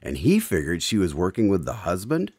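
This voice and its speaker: A man's voice: sits low, wildly swooping pitch, a little fast, darkish tone, breathy edge, no echo, clean studio sound. A man hosting a radio drama, delivering scripted questions and announcements. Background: guitar music.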